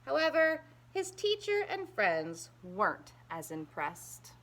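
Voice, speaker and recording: A woman reading a children's picture book aloud in an expressive, emphatic storytelling manner. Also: medium pitch, slow.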